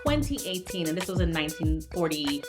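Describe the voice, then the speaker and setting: A woman's voice: low-pitched, big pitch swings, brisk, loud music behind the voice. A woman from Trinidad, a makeup artist guest, talking earnestly and telling a story. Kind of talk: interview conversation.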